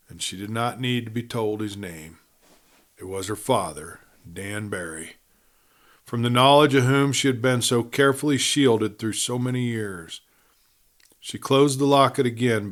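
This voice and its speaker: A man narrating a scripted western story in a measured, dramatic manner. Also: medium pitch, fairly bright tone. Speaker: audiobook narrator.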